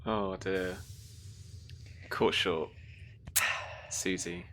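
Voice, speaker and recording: A woman game master chatting casually, relaxed and sweary, dropping approving remarks, with light noise behind her. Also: a bass voice, very slow. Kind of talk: casual chat.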